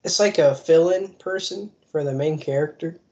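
A man with a somewhat high voice chats in a laid-back, self-mocking way on a casual podcast. He laughs a lot. The recording is a bit dull. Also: normal speed, darkish tone. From United States. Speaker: podcast co-host. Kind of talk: casual chat.

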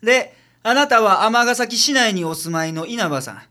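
A brisk, faintly world-weary male detective played by a man with a Kansai accent. His intonation swings widely as he handles clients in a businesslike radio-drama style. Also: sits high, lively intonation, bright, forceful tone. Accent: Kansai Japanese. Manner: businesslike, exasperated, swinging delivery. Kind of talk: community radio drama acting.

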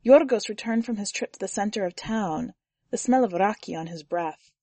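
A woman reads a short story in a measured, even cadence, her voice sitting at a middling pitch. The sound is close and dry, with no room around it. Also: dry recording. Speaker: podcast editor and story reader. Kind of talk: fiction audiobook narration.

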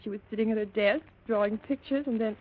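A woman acting in a 1940s radio drama as a distraught, frightened wife, halting and melodramatic. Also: mid-range voice, slight background noise, natural voice. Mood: grieving. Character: distraught, frightened wife of a rich mine owner. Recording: dull, lo-fi old radio recording.

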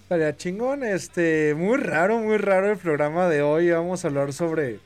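A man with a Mexican Spanish accent hosting a casual podcast chat, excitable and laughing, swearing freely. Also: high-pitched, normal speed, faint music behind. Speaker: podcast host.

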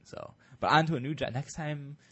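A man's voice: a little high, normal speed, sharp tone, husky, muffled recording. A young man co-hosting a casual podcast. He is playful and builds on the jokes. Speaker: podcast co-host.

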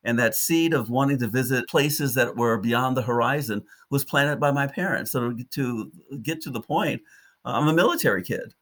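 A man's voice: mid-range voice, moderate pace. A man in his sixties from Detroit, a park ranger speaking as a podcast guest, telling his stories in a vivid, lyrical way with frequent restarts. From Detroit, United States. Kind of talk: reflective interview storytelling.

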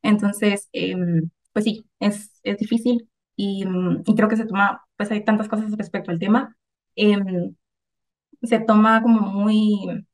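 A woman's voice: medium pitch, fairly even intonation, slow pace, a little mellow. A woman in her twenties, a guest in a casual group discussion, speaking thoughtfully and hesitantly with many fillers. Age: 20s.

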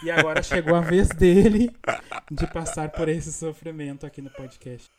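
A man's voice: a little high, moderate pace, crowd noise. A Brazilian man hosting a podcast in casual chat, talkative, with frequent restarts and laughs. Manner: chatty, laughs often, many restarts. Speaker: podcast host.

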